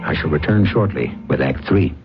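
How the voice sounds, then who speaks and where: A man hosting and narrating a radio drama in a deliberate, theatrical style with dramatic pauses. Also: very deep voice, expressive. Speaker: host and narrator. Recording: muffled old radio broadcast, loud music.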